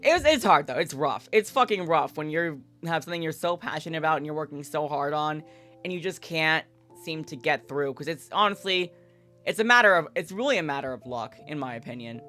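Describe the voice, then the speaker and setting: A woman's voice: low voice, expressive, brisk, sharp tone. A woman livestreaming a visual novel, reading it aloud and chatting candidly in casual, sweary language. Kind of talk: gaming livestream with read-aloud and casual commentary. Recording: background music under the voice. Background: game music.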